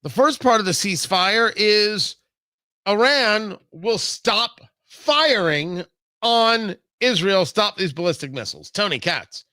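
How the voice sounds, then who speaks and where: A man hosting a talk radio show, delivering an opinionated political monologue theatrically, with emphatic repeats and dramatic pauses on a close studio mic. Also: sits high, lively intonation, pushed and bright, no room sound. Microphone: close studio microphone.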